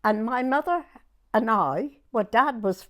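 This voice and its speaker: An elderly woman in her nineties with a southern English accent, sharing memories in conversation. Her voice is fairly high and very animated in pitch, and she takes her time, often pausing between short phrases. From southern England. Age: 90s. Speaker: oral history interviewee. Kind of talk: personal reminiscence in conversation.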